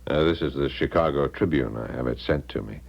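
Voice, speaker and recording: An actor playing a confident young American suitor in a 1970s radio drama, direct, teasing and pressing. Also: male voice, a bass voice, fairly quick, gravelly, full character voice. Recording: dull 1970s radio broadcast recording.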